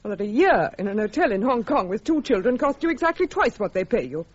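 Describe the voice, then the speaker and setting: Fast, lively, brittle army wife. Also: female voice, on the high side. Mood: strained, exasperated. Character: strained, weary, sharp-tongued army wife. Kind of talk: radio drama acting. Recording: dull, band-limited radio recording.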